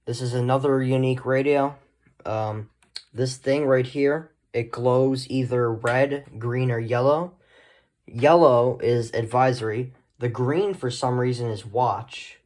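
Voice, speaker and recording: Guy doing a casual show and tell, middle pitched voice, kinda rambly, trails off a lot. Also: fairly even intonation, takes it slowly, darkish tone. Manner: rambling, trailing off with short asides. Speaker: hobbyist video host.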